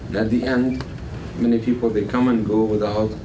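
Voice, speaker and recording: A middle-aged man, giving a guest lecture to a college class, measured and full of fillers. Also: fairly low pitch, level tone, noisy background. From Palestine. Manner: measured, many fillers, gestures with both hands. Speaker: guest speaker. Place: classroom with a blackboard. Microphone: far camera. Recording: echoey classroom from far off.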